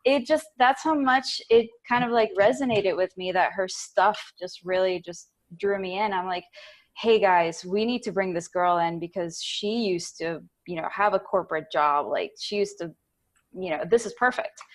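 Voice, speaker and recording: Woman, podcast co-host, from Puerto Rico, medium pitch, casual, chatty, effusive. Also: normal speed. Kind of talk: casual chat.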